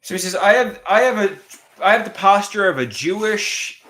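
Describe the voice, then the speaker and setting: A man in his late thirties talks casually to his livestream viewers in a bright, fairly high voice, and his nose sounds stuffed. He stumbles and restarts his sentences often, and his pitch swings widely. The room around him is noticeably echoey. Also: animated. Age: 30s. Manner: halting, repeats words and restarts sentences. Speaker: livestream host. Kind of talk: casual livestream chat reading viewer comments.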